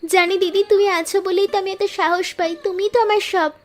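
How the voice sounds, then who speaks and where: A woman's voice: very high-pitched, steady tone, quick pace. A woman voicing an earnest, affectionate young girl, a poor flower seller and younger sister, in a cartoon moral story.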